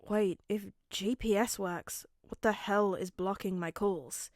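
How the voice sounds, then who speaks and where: A woman voicing a weary, grumpy dad who grumbles in a dry, exasperated deadpan for an audio story. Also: medium pitch, takes it slowly, no echo, natural voice. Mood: wary.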